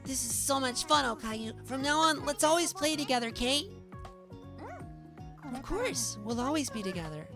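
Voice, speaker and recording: Woman voicing high, childlike cute anime girl. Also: animated, moderate pace. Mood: sweet, affectionate. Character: cute girlish anime animal-girl friends, playful and affectionate. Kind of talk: visual novel dialogue acting. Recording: clear music behind the voice.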